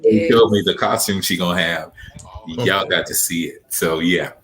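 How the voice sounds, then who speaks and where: A man's voice: low voice, moderate pace, fairly bright tone, low-quality recording. A man speaking as a director and interview guest in a casual, heartfelt way.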